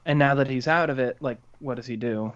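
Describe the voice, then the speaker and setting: A man's voice: mid-range voice, fairly quick, fairly bright tone, dull audio. A young man co-hosting a podcast, discussing music casually in an enthusiastic, informal way. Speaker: podcast co-host.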